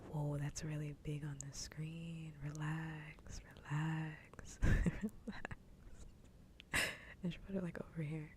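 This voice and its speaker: A woman livestreaming ASMR, chatting casually and playfully in a soft, breathy whisper close to the microphone. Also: low voice, level tone, a little slow, few pauses, slight background noise. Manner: playful, rambling.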